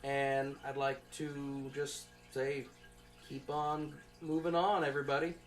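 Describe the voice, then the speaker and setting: Halting, conversational young adult student presenter. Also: mid-range voice, flat delivery. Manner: conversational, rambling, halting, thinking aloud. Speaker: college student presenting an assignment. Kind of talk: informal spoken class presentation.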